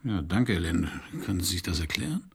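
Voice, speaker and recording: A man acting in a German radio crime play as a laconic, sardonic private detective who narrates his case, with a husky, gravelly voice. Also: low voice, big pitch swings, a little fast, exaggerated voice. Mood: dry, curt.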